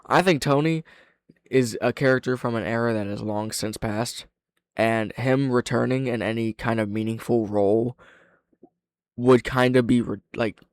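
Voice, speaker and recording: A man's voice: mid-range voice, fairly even intonation, normal speed, no room sound. Opinionated man giving casual reaction commentary, dry sound. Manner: opinionated, frank, swears. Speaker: reaction video host and reviewer.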